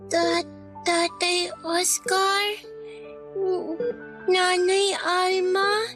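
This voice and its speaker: A frail young child speaks very high and slowly, halting and weak, in a radio drama. The pitch stays flat over soft music. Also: female voice, full character voice. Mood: weak wonder. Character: frail, sick young child, dreamy and hopeful.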